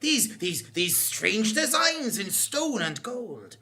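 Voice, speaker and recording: A man's voice: high-pitched, huge pitch swings, slow, pushed and bright, full character voice. A man playing a pompous, panicky adventurer in a live comic audio drama, exclaiming and stammering theatrically. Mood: bewildered indignation. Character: bombastic, panicky male explorer adventurer, prejudiced and melodramatic. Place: small theatre stage, live performance.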